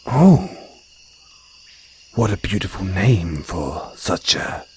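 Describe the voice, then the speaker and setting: Male actor as deep-voiced naga, very slow, formal, guarded yet tender, flirtatious, audio roleplay, crickets behind. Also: very animated, a little hiss or hum, full character voice. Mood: warm, flirtatious. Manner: slow, deliberate, formal phrasing with pauses. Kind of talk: intimate audio roleplay acting. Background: crickets and jungle insect sounds.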